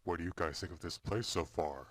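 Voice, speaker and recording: A man's voice: deep voice, a little fast, no room sound. A man voicing an upbeat male cartoon character who leads the group. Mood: cheery.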